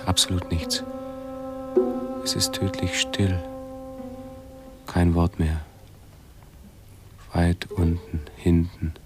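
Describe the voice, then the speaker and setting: A man playing an anxious, suffering hypochondriac in a radio drama, muttering halting, pedantic scholarly phrases. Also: deep voice. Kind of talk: 1970s German radio drama acting.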